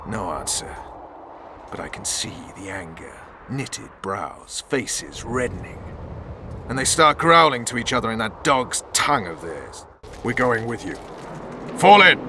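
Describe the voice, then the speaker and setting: An actor playing a tough, proud soldier commander in a video game, with a sardonic, commanding manner. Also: male voice, mid-range voice, very animated, measured pace, noisy background.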